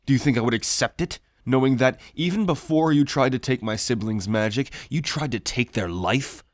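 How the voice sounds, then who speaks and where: An earnest, principled young prince, played by a man in a fantasy audio roleplay. His voice sits at a middle pitch, and he speaks with courteous formality and steady loyalty. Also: moderate pace, no room sound, clean studio sound, close to an everyday voice. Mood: righteous accusation.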